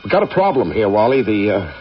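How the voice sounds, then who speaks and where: Middle-aged American astronaut, low voice, hesitant, guarded, secretive, old radio drama, dull lo-fi sound. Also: male voice, sing-song, fast talker. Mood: nervous, insistent. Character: uneasy, secretive veteran astronaut nearing retirement. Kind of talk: 1980s radio drama acting. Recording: lo-fi old radio broadcast, faint music.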